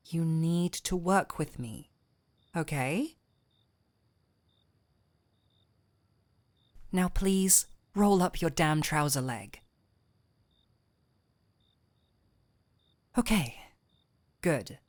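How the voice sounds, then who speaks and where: A woman playing a gentle but firm fantasy physician, calm and wry, in an intimate audio roleplay with measured, coaxing delivery. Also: fairly low pitch, no echo. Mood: patient, exasperated. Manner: measured, coaxing, wryly stern. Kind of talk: intimate scripted audio roleplay addressed to the listener.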